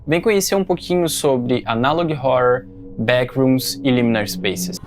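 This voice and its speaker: A man's voice: medium pitch, a little slow, soft background music. A Brazilian man hosting and narrating an informative scripted video essay. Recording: faint background noise.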